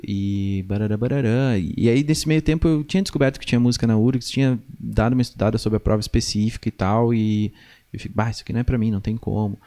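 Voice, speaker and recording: Young gaúcho man, mellow-voiced storyteller. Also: fairly low pitch, quick pace. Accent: southern Brazilian gaúcho. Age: young adult. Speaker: podcast guest, musician. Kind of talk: casual conversational storytelling. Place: small indoor podcast studio. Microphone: close studio mic on boom arm.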